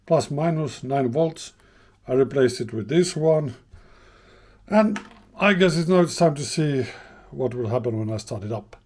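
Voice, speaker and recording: Mellow-voiced man thinking aloud. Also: medium pitch. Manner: hesitant, thinking aloud, informal. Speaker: host of a vintage radio repair channel. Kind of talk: unscripted hands-on demonstration talk.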